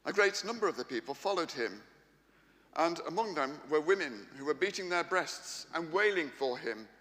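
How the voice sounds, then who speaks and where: A man's voice: fairly high pitch, lively intonation, normal speed, bright, forceful tone. A man giving a scripted reading of scripture as narration in a Passion dramatisation. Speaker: Passion reader. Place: large church hall, live reading. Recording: echoey large church hall.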